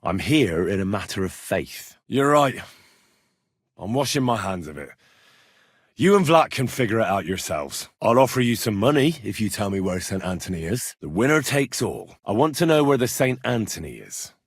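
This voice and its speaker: An actor playing a blunt, plain-spoken young medieval adventurer in a video game, talking in an earthy, sweary, conversational way. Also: male voice, on the low side, big pitch swings, moderate pace, breathy edge, no room sound, clean studio sound, exaggerated voice. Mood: earnest, matter-of-fact. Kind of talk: video game dialogue acting.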